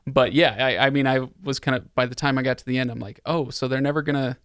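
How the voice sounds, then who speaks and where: A man's voice: mid-range voice, fairly even intonation, fast, fairly bright tone, smooth, dry recording, studio quality. A man hosting a podcast, talking casually in a wry, rambling way about film. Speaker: podcast host.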